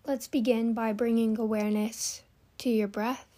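A woman hosting a guided meditation, giving gentle, soothing instructions with long pauses. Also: fairly high pitch, steady tone.

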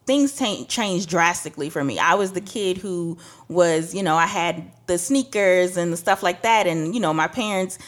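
A young woman from Trinidad telling a personal story candidly on a podcast, getting animated when she quotes people. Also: lowish voice, normal speed. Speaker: podcast co-host. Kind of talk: casual podcast chat.

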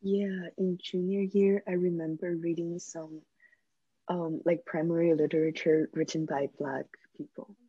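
A woman's voice: lowish voice, level tone, a little slow, slightly rough, no echo, lo-fi sound. A young woman from China who grew up in the US from age ten, talking casually in a student discussion. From China until age ten, then the US.

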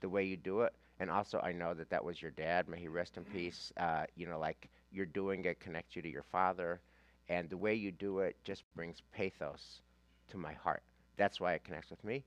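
A man's voice: low voice, fairly even intonation, moderate pace. A man leading a study class as a rabbi, debating emphatically and repeating phrases for stress.

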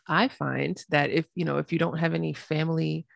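Podcast host, from the US, reflective questioner, gravelly fry, middle pitch. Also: flat delivery, a little fast, dry recording, studio quality. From United States. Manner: thoughtful, asks long questions. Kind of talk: casual interview.

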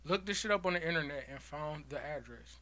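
A man in his thirties telling a story in casual group chat, animated and laughing often. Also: fairly high pitch, brisk, a loud crowd. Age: 30s.

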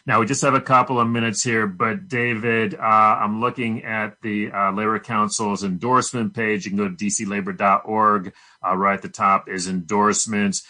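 A man's voice: lowish voice, level tone, moderate pace, pushed and bright. An American man hosting a radio interview in a conversational style. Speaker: radio show host.

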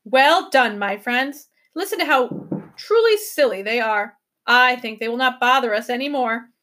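A woman voicing a calm, courteous old professor. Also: on the high side, moderate pace. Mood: weary. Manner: courteous, encouraging, steady. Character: calm, polite older gentleman professor.

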